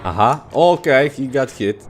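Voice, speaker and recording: A man's voice: medium pitch, animated, measured pace. A man in his thirties with a Polish accent, chatting loosely with viewers and swearing at the game as he streams. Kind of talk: casual livestream chat over gameplay. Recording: noisy game audio underneath. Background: game gunfire and ambience.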